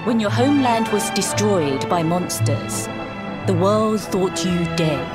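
A woman's voice: mid-range voice, expressive, takes it slowly. A woman playing a wry, imperious grand master of a mountain temple in a video game, speaking formally and with measure. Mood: solemn. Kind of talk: video game cutscene acting. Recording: loud background music. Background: loud music.

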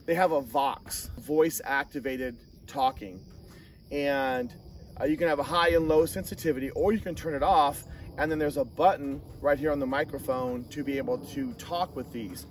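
A man hosting a casual hands-on gear review, talking in a halting way with self-corrections. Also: fairly high pitch. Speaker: host of a gear review channel. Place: outdoor shooting range. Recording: distant mic, outdoor noise, insect chirps.

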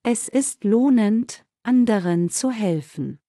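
Mellow woman reading German phrases slowly. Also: a little high, lively intonation, no room sound, clean studio sound. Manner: careful, measured delivery. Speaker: German example sentence reader. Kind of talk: slow, clear model sentences for learners.

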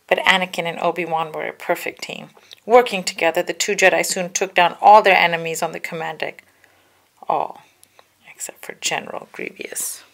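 A woman's voice: lowish voice, fairly even intonation, normal speed, vocal fry, a bit bright. A woman reading a children's storybook aloud with careful, dramatic narration. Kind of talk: children's book read-aloud, scripted narration.

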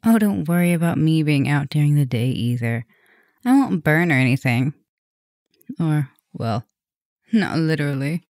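A woman's voice: low voice, lively intonation, moderate pace, long pauses, darkish tone, dry recording, clean studio sound. A woman playing a flirty, teasing vampire professor in an affectionate, chatty ASMR roleplay spoken to the listener. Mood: reassuring.

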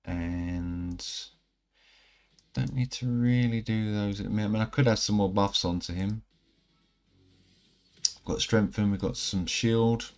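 A man in his thirties giving casual, rambling commentary over mobile game footage, a little croaky from a night out. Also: on the low side, measured pace, long pauses, soft background music. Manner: relaxed, rambling, self-correcting. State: slightly croaky from singing. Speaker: gaming channel host. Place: indoor room at home.

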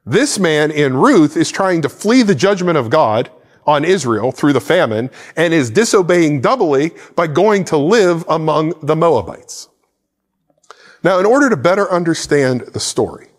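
A man's voice: on the high side, big-room sound. A man in his forties preaching a sermon to camera from a church stage, gesturing with a Bible in hand. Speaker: pastor. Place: church stage set with plants and a cross.

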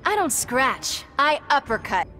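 A confident young superheroine from a video game. Her high voice is very lively and full of flippant defiance, with music underneath. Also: a little slow, heavily acted. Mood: defiant, sassy. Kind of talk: video game character acting.

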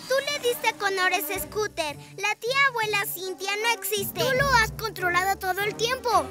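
A woman plays a bossy, level-headed little owl-girl hero in a cartoon, giving orders in a very high voice over loud music. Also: moderate pace. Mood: determined. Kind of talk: cartoon dub acting.

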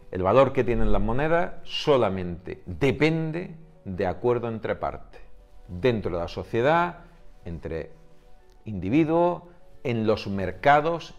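Low-voiced Spanish man explaining, music behind. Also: darkish tone. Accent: Spain. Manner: didactic, emphatic, repeats key points. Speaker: video host explaining economics. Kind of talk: explanatory monologue to camera. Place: small home office, indoors.

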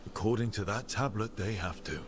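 An actor playing a supportive, teasing armored hero and romantic partner in a video game. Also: male voice, lowish voice, a little fast, noisy background, lightly acted. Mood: reassuring. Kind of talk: video game dialogue acting. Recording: game dialogue under loud music.

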